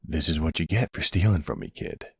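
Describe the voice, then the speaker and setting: A man playing a smug, flirtatious middle-aged mafia boss in an ASMR roleplay drama, sweet-talking and gravelly. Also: very deep voice, big pitch swings, a little fast, no echo, studio quality, full character voice. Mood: smug, commanding.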